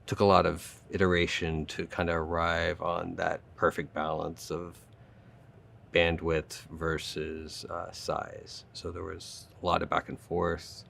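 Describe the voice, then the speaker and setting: Deep, gravelly, even-toned man. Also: measured pace, a little hiss or hum. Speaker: audio company design expert. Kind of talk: informal explanatory interview talk.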